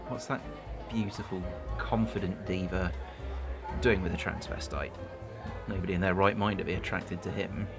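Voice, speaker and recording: A man's voice: sits low, vocal fry. Shy, self-deprecating man, music behind. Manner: hesitant, dryly self-mocking. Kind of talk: radio drama acting.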